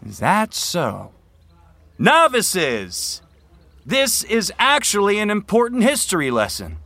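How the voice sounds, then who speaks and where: Pompous, bright-voiced young team captain. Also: male voice, high voice, huge pitch swings, takes it slowly, dry recording, full character voice. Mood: scornful, irritated. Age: young adult. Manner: pompous, commanding, projected speech. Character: arrogant, domineering young college rowing team captain. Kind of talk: scripted audio drama acting.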